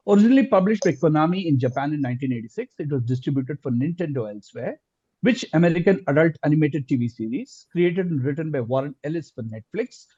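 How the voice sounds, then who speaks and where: Male quizmaster, higher-pitched and very varied in tone, slightly husky, dull call audio. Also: warm tone. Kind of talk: reading quiz questions in an online quiz.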